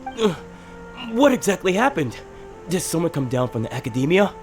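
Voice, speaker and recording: A man's voice: a little high, big pitch swings, moderate pace, faint music behind. An actor playing an earnest, supportive and eager young man in a video game. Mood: puzzled. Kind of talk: English game voice acting.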